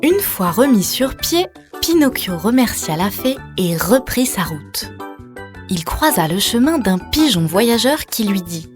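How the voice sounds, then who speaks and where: A woman's voice: medium pitch, big pitch swings, a little slow. Woman narrating a children's story over music. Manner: addresses the child listener with questions.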